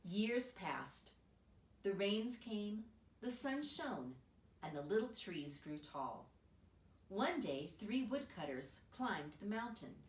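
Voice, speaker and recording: A woman reading a children's picture book aloud as an expressive storyteller, recorded in a roomy space away from the microphone. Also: mid-range voice, slow pace. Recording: roomy echo, distant microphone.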